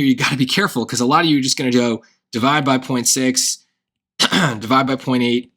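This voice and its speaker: A man tutoring SAT math, thinking aloud through a worked problem with wry asides, his voice going hoarse. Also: medium pitch, pushed and bright. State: voice getting hoarse. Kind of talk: casual worked-example lesson.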